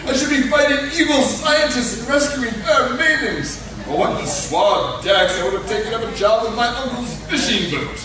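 A man playing a pompous, oblivious heroic gentleman adventurer in comic radio theatre, grand and earnest in manner. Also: very high-pitched, animated, normal speed, hardly any pauses. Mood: indignant. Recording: echoey noisy hall, distant mic.

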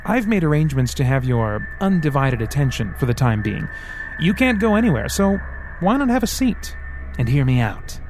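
A man voicing a smooth, sarcastic male devil who teases and threatens by turns in an audiobook. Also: mid-range voice, sing-song, normal speed, over music, close to an everyday voice. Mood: mocking. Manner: teasing, sarcastic, theatrical. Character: sly mocking male devil, smooth, menacing.

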